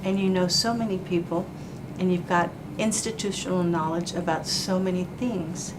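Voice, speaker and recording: A woman's voice: on the low side, fairly even intonation, moderate pace. Effusive woman host, noisy recording. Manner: effusive, praising, fond of "you know" and "like". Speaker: interview host, chamber of commerce president. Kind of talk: warm formal interview.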